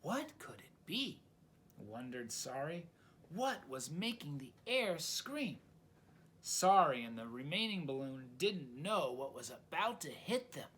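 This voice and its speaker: A man reading a children's story aloud in an expressive, dramatic storytelling style. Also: on the high side, a little slow, slight background noise. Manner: dramatic, asks the listener questions.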